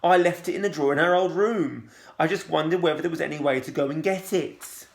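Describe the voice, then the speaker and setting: A man voicing an irritated teenage girl who speaks in a clipped, strained calm. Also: a little high, brisk, vocal fry. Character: irritable teenage girl holding her temper. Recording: roomy echo.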